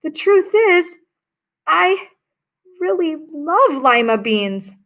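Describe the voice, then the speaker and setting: A woman voicing a high, whiny little girl. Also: very animated, very slow, fairly bright tone, full character voice. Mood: eager. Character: young schoolgirl, anxious and stubborn.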